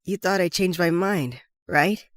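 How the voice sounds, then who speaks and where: A woman playing a spirited, headstrong teenage schoolgirl with an expressive, blunt delivery. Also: fairly low pitch, measured pace, dry recording. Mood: cheerful.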